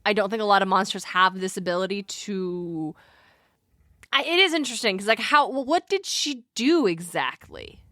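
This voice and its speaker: A woman's voice: medium pitch, lively intonation, moderate pace, sharp tone, dry recording, clean studio sound. A woman co-hosting a podcast, chatting casually and informally about mythology as she thinks aloud. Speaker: podcast co-host.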